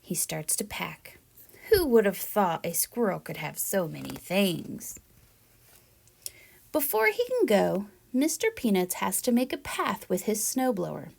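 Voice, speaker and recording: Slow-paced woman reading to children. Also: medium pitch, sing-song, vocal fry. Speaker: read-aloud storyteller, teacher-like presenter. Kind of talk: expressive children's picture book read-aloud.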